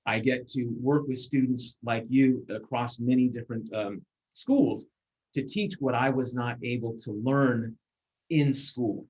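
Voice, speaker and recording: A man in his forties, a guest speaker, giving a measured, earnest talk over a video call, with fillers and restarts. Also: mid-range voice, a little mellow, slightly rough. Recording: muffled video call audio.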